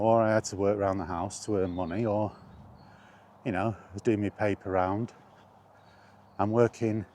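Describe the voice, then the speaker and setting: Low-voiced British man chatting over background noise. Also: darkish tone. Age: middle-aged. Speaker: vlogger. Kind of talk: casual rambling personal reminiscence.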